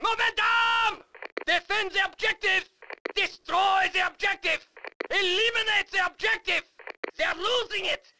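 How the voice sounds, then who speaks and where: An actor playing a fierce, fanatical German army officer who barks commanding battle announcements in a German accent for a game. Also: male voice, extremely high pitch, measured pace, noisy background. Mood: fierce, urgent. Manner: barking, emphatic, commanding. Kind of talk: shouted military game announcements.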